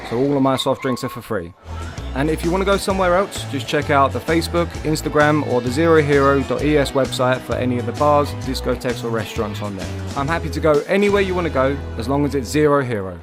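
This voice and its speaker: Brief: man, fast upbeat ad read, clear music bed behind. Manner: upbeat sales pitch. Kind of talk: radio ad read.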